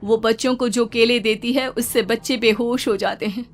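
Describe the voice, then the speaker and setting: A woman playing the earnest ghost of a village wife and mother, explaining things in an animated folk tale. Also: on the high side, moderate pace, close to an everyday voice. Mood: earnest warning.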